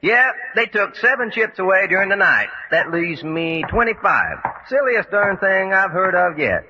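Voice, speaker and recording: An actor playing an easygoing, unafraid cowboy adventurer with a folksy Southern drawl in an old-time radio serial. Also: male voice, sits high, big pitch swings, moderate pace. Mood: indignant. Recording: muffled, noisy old radio recording.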